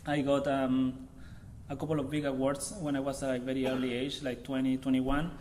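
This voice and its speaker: A man in his thirties with a Chilean accent speaks at a mid-range pitch, talking loosely and haltingly as he searches for his words. A faint hiss sits under his voice in a lecture room. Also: flat delivery, a little mellow. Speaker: visiting artist. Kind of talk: public artist talk.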